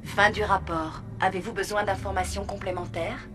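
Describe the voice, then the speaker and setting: A woman voicing a calm, polite artificial intelligence in a video game, speaking in measured, procedural announcements. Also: mid-range voice, music in the background, close to an everyday voice. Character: calm, formal female artificial intelligence of a research complex.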